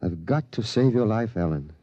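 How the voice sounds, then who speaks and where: A man's voice: on the low side, animated, normal speed. An actor playing a troubled, preoccupied father in a 1950s radio drama. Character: troubled, preoccupied father brushing off his son. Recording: muffled vintage radio recording, faint music.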